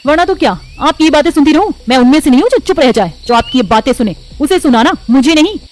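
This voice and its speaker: A woman's voice: high voice, animated, fast talker, darkish tone, slight background noise. A woman voicing a spoiled, defiant young woman in a cartoon story, blunt and complaining. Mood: angry. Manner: blunt, complaining, talks back. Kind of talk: Hindi cartoon story voice acting.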